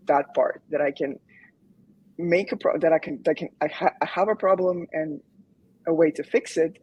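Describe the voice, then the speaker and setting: Husky-voiced woman from Croatia. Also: low-pitched, mellow, low-quality recording. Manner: hesitant, many fillers and restarts, laughs often. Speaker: guest, UX design graduate. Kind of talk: casual interview chat.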